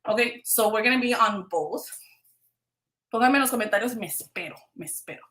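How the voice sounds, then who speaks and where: A woman's voice: a little high, lively intonation, normal speed, fairly bright tone. A Mexican woman hosting a casual livestream, chatting informally with viewers and switching between two languages. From Veracruz, Mexico. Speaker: livestream host. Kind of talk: casual live chat with viewers. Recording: roomy, echoing livestream sound.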